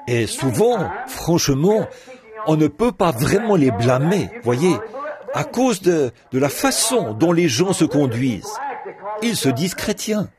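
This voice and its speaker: A man delivering a translated sermon in a lively preaching cadence, repeating words as he goes. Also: fairly high pitch, unhurried, crowd noise. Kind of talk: French reading of a translated sermon.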